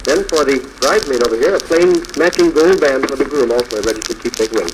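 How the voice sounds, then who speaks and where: A man's voice: medium pitch, fast, slight background noise, rough recording. An American man hosting a live 1940s radio show, interviewing guests and announcing on an old broadcast recording.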